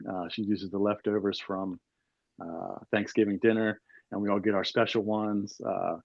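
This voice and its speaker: Man in his forties, from Maryland, rambling storyteller, lowish voice, dull dry lo-fi sound. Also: little pitch movement, normal speed, vocal fry, no room sound. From Northern Carroll County, Maryland, USA. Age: 40s. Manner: rambling, lots of fillers and restarts. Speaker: podcast guest. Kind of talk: casual storytelling chat.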